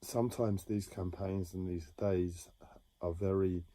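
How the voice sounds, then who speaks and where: A man talking slowly and haltingly about his own experiences in a reflective monologue, with many pauses and restarts. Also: low-pitched, steady tone, warm tone, dry recording. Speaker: livestreamer sharing a personal story. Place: home.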